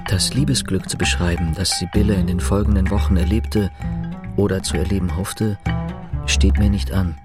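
An actor playing a reflective narrator writing his account, measured and ironic, in a German literary radio play. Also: low voice, fairly even intonation, loud music behind the voice. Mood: reflective, sceptical.

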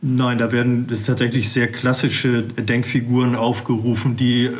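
A man as an expert guest on a radio show, explaining slowly and academically with frequent hesitations. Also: medium pitch, level tone. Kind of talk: radio interview answers.

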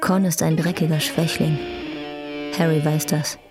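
A woman's voice: sits low, fairly even intonation, measured pace, over music. A woman narrating a radio drama in a cool, detached way, at times close to a whisper.

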